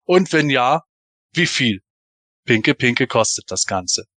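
A man's voice: mid-range voice, lively intonation, takes it slowly, bright, forceful tone, dry recording. A man hosting a casual fan podcast, talking through his opinions in a discussion. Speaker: podcast host.

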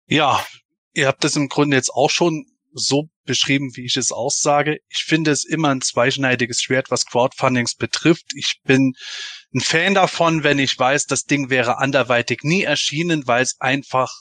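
A man's voice: medium pitch, normal speed, fairly bright tone, no echo. A man hosting a casual fan podcast, talking through his opinions in a discussion. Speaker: podcast host.

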